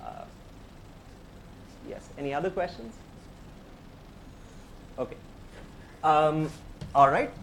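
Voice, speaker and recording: Indian-accented male professor, slightly high pitch, talks through the material step by step and keeps inviting questions. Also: expressive, slow pace, creaky, pauses often, a bit bright, a little hiss or hum, poor audio. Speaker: professor giving a tutorial. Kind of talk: technical lecture.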